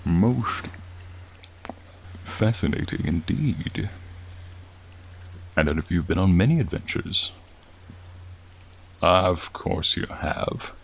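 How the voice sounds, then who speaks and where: Man voicing a deep, gravelly, friendly dragon. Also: slow pace, long pauses, exaggerated voice. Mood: curious, admiring. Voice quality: low, gravelly, soothing. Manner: courtly, leisurely, warmly attentive. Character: friendly, charming male frost dragon, gentle and admiring. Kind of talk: ASMR roleplay. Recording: steady rain sounds behind the voice. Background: rain falling.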